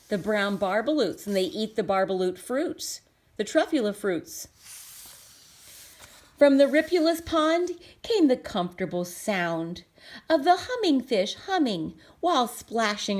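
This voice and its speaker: A woman reading a storybook aloud for children, expressive, pausing to explain and ask simple questions. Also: mid-range voice. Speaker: storybook reader. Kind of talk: children's read-aloud with asides to the listener.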